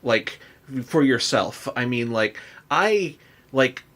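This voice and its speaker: A man hosting a casual chat, working his way through questions in a halting, rambling way with repeated words. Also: mid-range voice, animated, a bit bright. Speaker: podcast host, interviewer.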